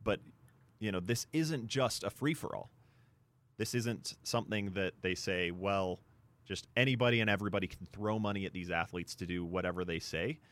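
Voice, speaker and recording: Low-voiced man, sports radio co-host, explanatory, point-by-point delivery, conversational discussion. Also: normal speed, a bit bright.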